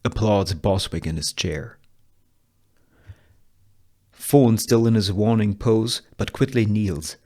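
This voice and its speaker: Guy reading out a screenplay, lowish voice, really slow n measured, short phrases. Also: dark tone. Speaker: table read narrator reading all parts. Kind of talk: scripted screenplay narration of action and scene headings.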